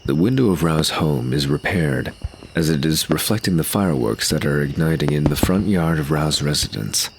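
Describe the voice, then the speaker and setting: A man's voice: a bass voice, expressive, normal speed, creaky, a little hiss or hum. A man reading scripted narration for an audio drama, describing the action as narrator.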